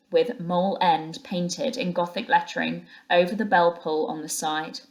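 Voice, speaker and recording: A woman reading a storybook aloud as a teacher would to her pupils, narrating the scenes. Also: fairly low pitch.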